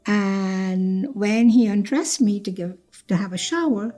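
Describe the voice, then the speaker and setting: A woman's voice: mid-range voice, slow pace, dark tone, soft background music. An older woman born in Trinidad, telling her life story in a reflective way, repeating phrases and acting out what others said. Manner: reflective, repeats phrases, acts out quoted speech. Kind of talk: personal storytelling interview.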